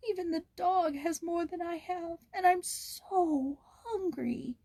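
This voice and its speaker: A woman's voice: extremely high pitch, slow pace, dark tone, pitched above the performer's own voice, heavily acted. A woman voicing a small, hungry orphan boy in a storybook, sobbing and pleading in misery. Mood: sobbing, despairing.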